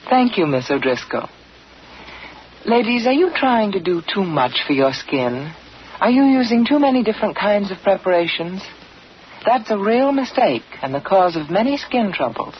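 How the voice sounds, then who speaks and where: A woman announcer reading a scripted radio commercial in a measured, persuasive way. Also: medium pitch, big pitch swings. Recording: dull 1940s radio broadcast, faint hiss.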